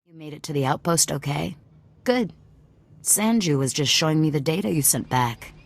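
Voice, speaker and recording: An actress playing a wary, commanding female leader of a rebel cell in a video game, with a brisk, probing, businesslike manner. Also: deep voice, vocal fry. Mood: suspicious. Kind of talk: video game dialogue acting.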